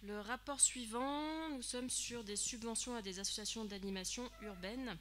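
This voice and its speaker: A woman chairing a municipal council, briskly thanking speakers and calling the votes. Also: fairly high pitch, fairly bright tone, slight background noise. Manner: brisk, routine, procedural. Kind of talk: chairing a vote, formal procedure.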